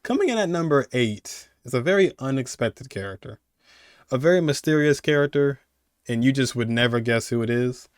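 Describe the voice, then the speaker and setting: A man's voice: medium pitch, expressive, normal speed, clear, resonant tone, no room sound, clean studio sound. A man in his twenties giving casual, rambling commentary as he hosts a video countdown. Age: 20s. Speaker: video host. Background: music under the voice.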